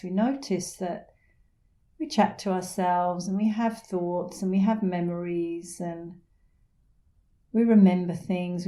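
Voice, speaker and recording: A middle-aged woman leading a guided meditation in a soothing, unhurried manner with gentle pauses. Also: lowish voice. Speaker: meditation instructor.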